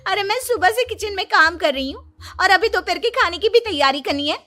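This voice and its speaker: A woman playing a very shrill, indignant young wife. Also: animated, a little fast, pushed and bright, soft background music, full character voice. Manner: whining, indignant. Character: indignant young wife, quarrelsome and easily offended. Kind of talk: cartoon dialogue.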